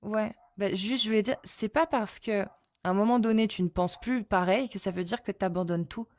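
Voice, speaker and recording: Une femme qui anime une conversation sur la religion et qui pose des questions insistantes, en réfléchissant à voix haute avec des hésitations. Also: female voice, mid-range voice, normal speed, darkish tone, no echo. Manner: questioning, insistent, thinks aloud with fillers. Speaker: host.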